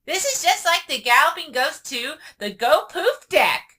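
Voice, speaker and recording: Excitable bookish girl pony, cartoon delivery, very high voice, unhurried pace, dramatic emphasis. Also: full character voice. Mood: eerie storytelling. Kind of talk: animated cartoon voice acting. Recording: distant mic with faint noise.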